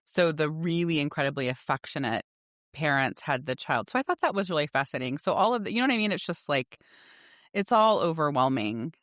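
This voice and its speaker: A woman's voice: low voice, lively intonation, brisk, a bit bright, dry recording, clean studio sound. A middle-aged woman co-hosting a podcast, talking casually and conversationally about everyday life. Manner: conversational, often acts out dialogue from anecdotes. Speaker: podcast co-host. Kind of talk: casual personal chat with anecdotes.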